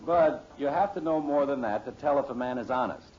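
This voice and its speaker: A man's voice: mid-range voice, fairly quick, lightly acted. An actor playing a sensible middle-aged father in a 1950s radio sitcom, patiently lecturing with a pompous self-assurance. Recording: muffled, hissy old radio recording.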